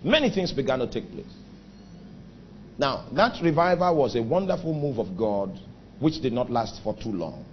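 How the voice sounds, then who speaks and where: Nigerian man preaching with lively swings. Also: dull audio, slight background noise. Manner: emphatic preaching with sudden pitch and volume swings, drawn-out phrases. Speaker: pastor. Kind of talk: sermon.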